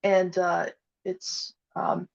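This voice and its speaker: A woman's voice: on the low side, big pitch swings, drawn-out words, creaky, dull audio. A woman being interviewed about her podcast, talking casually and hesitantly with many "uh" and "um" fillers. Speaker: podcast guest, audio drama creator.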